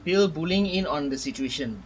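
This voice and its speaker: A man's voice: on the high side, expressive, a little fast, a little hiss or hum. A man giving casual, muttered reactions while playing a game. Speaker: gaming streamer reacting to a game.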